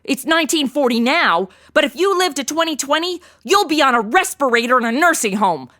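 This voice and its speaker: Breezy modern young woman sleuth, slangy and sarcastic, highish animated delivery for comedy audio drama. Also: fairly quick. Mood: exasperated, protective. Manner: slangy, casual, deadpan asides.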